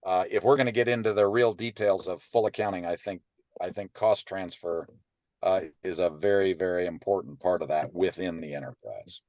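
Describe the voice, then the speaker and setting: Low-voiced man teaching a webinar. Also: fairly even intonation, normal speed, a little mellow, no echo, clean studio sound. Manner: informal, restarts and "right?" checks with listeners. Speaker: webinar presenter and ranch business advisor. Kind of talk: teaching webinar, conversational explanation. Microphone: computer microphone on an online webinar call.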